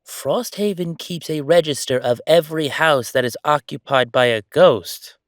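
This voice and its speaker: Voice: mid-range voice, moderate pace, no room sound, studio quality, natural voice. An actor playing a chatty, sardonic ghost roommate in a roleplay audio, talking in a casual, teasing, exclamatory way. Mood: incredulous, teasing. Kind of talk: roleplay audio acting, conversational.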